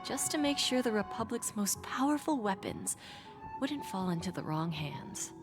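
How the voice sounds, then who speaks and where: A woman's voice: mid-range voice, sing-song, music in the background. A poised, calculating pawnbroker lady. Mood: coolly probing. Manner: measured, deliberate, formal, insinuating. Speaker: voice actor in a video game. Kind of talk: scripted game dialogue.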